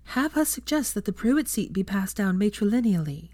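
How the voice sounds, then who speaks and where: A woman's voice: medium pitch, animated, moderate pace, darkish tone, dry recording, voice pushed up, close to an everyday voice. A woman voicing a clever, bossy young witch who talks in a persuasive, arch way. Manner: conciliatory and arch by turns.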